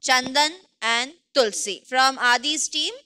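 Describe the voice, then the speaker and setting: A woman judging a dance show, announcing names in hesitant English with many pauses. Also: high-pitched. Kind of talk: halting announcement.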